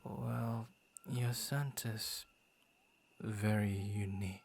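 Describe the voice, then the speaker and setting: Unhurried low-voiced friendly werewolf played by a man. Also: steady tone, vocal fry, a little mellow, slightly rough, full character voice. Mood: sheepish, apologetic. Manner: stammering, chuckling, playfully theatrical. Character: friendly, bashful, bored male werewolf guarding his forest. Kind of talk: ASMR boyfriend roleplay.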